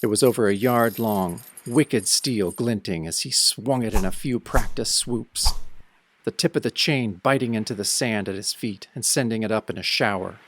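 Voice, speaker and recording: A man playing a brooding, tough hero who narrates an audio drama in the first person with grim, deliberate delivery. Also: fairly low pitch, fairly bright tone, slight background noise. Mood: ominous. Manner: grim, deliberate hardboiled storytelling. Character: brooding tough male hero recounting his nightmare. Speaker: narrator and protagonist.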